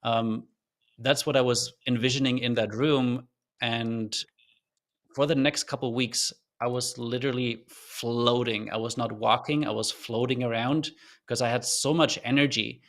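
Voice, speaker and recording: A man who grew up in Germany speaks with a faint German accent. He tells his story as a podcast guest, earnest and reflective, pausing and restarting as he thinks. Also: medium pitch, steady tone, a bit bright. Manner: thoughtful, earnest, loose with fillers and restarts.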